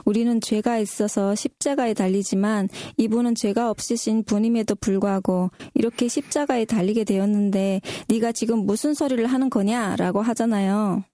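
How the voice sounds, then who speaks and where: A woman's voice: mid-range voice, fairly even intonation, moderate pace, hardly any pauses, mellow, no echo, clean studio sound. A woman in a prepared-sounding Bible discussion, politely restating and reflecting as a co-host. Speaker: co-host discussing scripture with a pastor.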